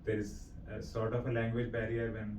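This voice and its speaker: Man, Indian accent, flat intonation, halting interviewer questions, off-mic in a roomy space. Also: lowish voice. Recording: roomy echo, distant mic, faint noise.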